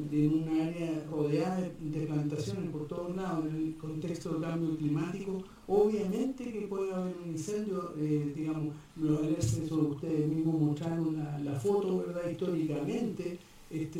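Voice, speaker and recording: A man's voice: a little high, dark tone. Hesitant man speaking in an echoey hall. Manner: hesitant, many fillers and restarts. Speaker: park defender, invited speaker. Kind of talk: public talk at a community forum. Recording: echoey hall, mic far off.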